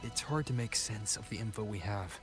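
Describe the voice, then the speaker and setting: A man voicing a serious, pensive adventurer hero who speaks in a measured, analytical way in an anime game. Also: on the low side, roomy sound, close to an everyday voice. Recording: very loud game music underneath.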